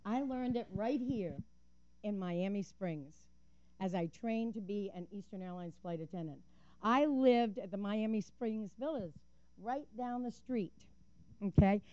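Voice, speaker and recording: An older woman speaks at a podium microphone in a council chamber, telling her story earnestly and vividly. Her voice is mellow and dry, with slightly dull, lo-fi sound. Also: medium pitch, unhurried, smooth, no room sound. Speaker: public commenter at a council meeting. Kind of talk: prepared public comment with personal storytelling.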